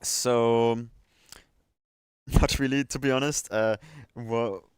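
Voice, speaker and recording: A man's voice: mid-range voice, steady tone, slow pace, clear, resonant tone, no room sound. A man chatting casually as the host of a livestream about his video editing work. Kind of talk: casual chat.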